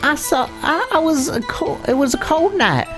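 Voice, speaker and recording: A young female game witness acted by a woman, high and animated. She is excitable and defensive, halting as she gets flustered, with music behind her. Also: poor audio. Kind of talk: dramatic video game voicing.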